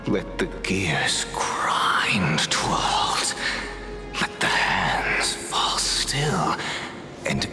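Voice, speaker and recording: A man playing a haunting, accusing figure in a video game, speaking in a breathy, commanding, ominous manner. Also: low-pitched, very animated, drawn-out words, in a whisper, full character voice. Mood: menacing. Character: haunting, accusing male voice urging surrender to death. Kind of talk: dramatic video game acting. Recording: loud music behind.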